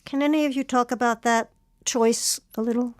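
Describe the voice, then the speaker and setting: A woman hosting a public radio arts show, speaking in a measured, articulate way. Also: fairly high pitch, fairly even intonation, no room sound, clean studio sound. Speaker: radio show host, founder and artistic director.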